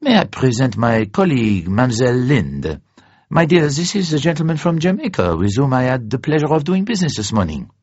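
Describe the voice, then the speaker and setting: A man's voice: medium pitch, big pitch swings, fairly quick, darkish tone, husky, no echo, lo-fi sound, voice pushed up. A man voicing an urbane, effusive Frenchman with a courteous, formal way of talking. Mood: delighted. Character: urbane, effusive Frenchman, smooth secret agent colleague.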